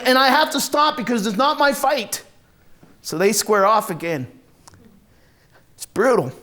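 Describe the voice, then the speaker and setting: A man playing a volatile, hard-drinking, foul-mouthed Marine from a rough neighborhood, telling his story in a raw, halting dramatic monologue. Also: high voice, a bit bright. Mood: grim, pained. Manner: raw, profane, halting then bursting into anger. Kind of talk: first-person dramatic monologue, conversational storytelling.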